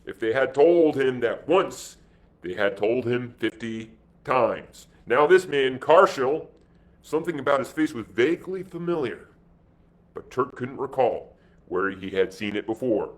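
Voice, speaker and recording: A man giving an expressive, impromptu amateur reading of an adventure story. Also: mid-range voice, a little slow. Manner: animated, expressive delivery with put-on dialogue voices. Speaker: amateur reader.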